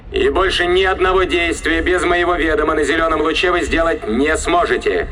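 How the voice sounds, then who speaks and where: An actor playing a defiant starship captain in an audio drama, commanding, threatening and emphatic. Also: male voice, fairly high pitch, a bit bright, slight background noise.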